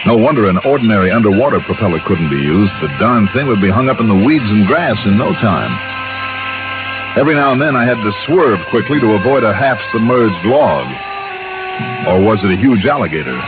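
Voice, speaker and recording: Male actor as radio private investigator: lowish pitch, wry, conversational, folksy asides, tinny old broadcast with music underneath. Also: lively intonation, brisk, lightly acted. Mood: wry, vivid storytelling. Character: tough, wry, easygoing male insurance investigator narrating his case. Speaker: detective hero and narrator. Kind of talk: 1950s radio detective acting, first-person narration.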